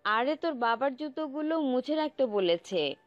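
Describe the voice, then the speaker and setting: A woman playing a fussing middle-aged mother in a romantic audio story, talking in a brisk, nagging, motherly way. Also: sits high, animated, dry recording. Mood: brisk, insistent. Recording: slightly dull close recording, music bed. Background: background music.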